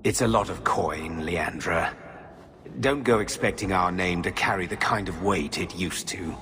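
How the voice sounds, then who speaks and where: A man's voice: sits low, measured pace. An actor playing a shifty, cynical male uncle with a wry, dismissive manner. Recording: game audio with music behind.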